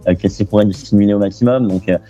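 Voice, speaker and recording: A young man from France talks easily in an interview, chatty and loose, full of little fillers and restarts. His voice sits fairly low with a mellow, soft tone. Faint music hums underneath. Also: fairly even intonation, rapid-fire, highs cut off. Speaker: guest. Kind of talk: casual interview talk.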